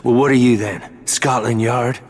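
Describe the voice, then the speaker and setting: A male actor playing a tough, sardonic, vengeful Irish mechanic in a video game, with an Irish accent and a gruff, defiant manner. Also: mid-range voice, fairly even intonation, measured pace, close to an everyday voice. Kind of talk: dramatic video game acting. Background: music.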